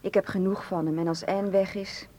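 A mid-pitched woman an actress as a callous conspirator in a radio play. She is cool, impatient and matter-of-fact. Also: fairly even intonation, moderate pace, warm tone, close to an everyday voice. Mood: cold, impatient. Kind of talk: radio drama acting.

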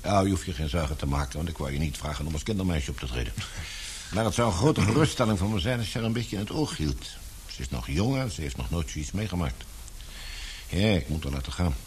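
A man acting in a Dutch radio play as a businesslike, fatherly middle-aged employer with a measured, authoritative manner. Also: deep voice, expressive. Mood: concerned, fatherly. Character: businesslike, fatherly older male employer, concerned for daughter. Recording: hissy radio play recording.

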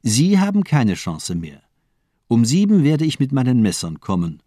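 A murderous doctor in a radio crime play. His delivery is precise and lecturing, with a lively, swooping melody that turns cold menace into mocking amusement. The sound is dry, with no room on it. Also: mid-range voice, moderate pace, no room sound. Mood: cold, taunting. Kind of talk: radio crime drama acting.

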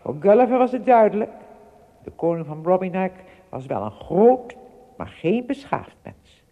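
A woman's voice: mid-range voice, very animated, moderate pace, phone-quality sound. A woman narrating a radio drama as a scripted storybook tale.

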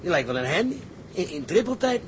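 An actor playing a blunt, sceptical, grumbling shipmate in a Dutch sea drama. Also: male voice, high voice, very animated, a little fast, noisy background. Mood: baffled, grumbling.

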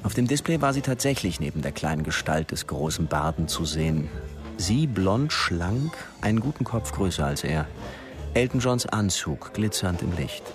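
An actor playing a wry, observant thriller narrator, telling the story in a dry, sardonic manner. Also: male voice, low-pitched, sing-song, normal speed, over music. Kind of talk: scripted radio drama narration.

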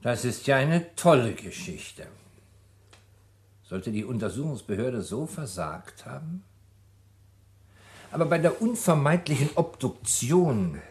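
An actor playing a skeptical prosecutor who questions a suspect in an old radio play, probing and ironic. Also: male voice, on the high side, a little mellow. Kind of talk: 1950s radio drama acting.

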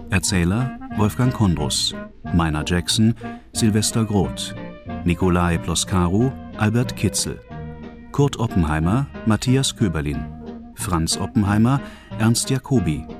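A man announcing the title and cast of a radio drama in a measured, formal way. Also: low voice. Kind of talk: scripted radio drama credits. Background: music.

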